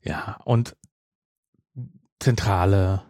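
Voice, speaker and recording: A man's voice: sits low, steady tone, extremely slow, a little mellow, no echo, studio quality. A middle-aged man co-hosting a podcast in casual, amused banter, often rephrasing as he goes. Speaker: podcast co-host. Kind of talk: casual banter about an audio drama series.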